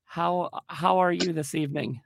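A man hosting a livestream chat, hoarse from a cold, rambling with lots of fillers and restarts. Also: on the high side, moderate pace, no echo. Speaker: podcast host. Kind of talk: casual livestream talk.

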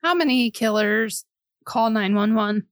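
A woman's voice: on the high side, measured pace, no echo, studio quality. A woman co-host reacting in short, casual remarks with a wry, joking manner. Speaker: podcast co-host listening and reacting. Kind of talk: casual banter, brief reactions.

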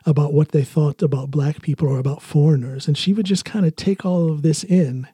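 American man, very mellow and dark, husky, slightly high voice, dry close sound, conversational podcast host. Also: steady tone, brisk, no echo. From United States. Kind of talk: casual conversation, personal storytelling.

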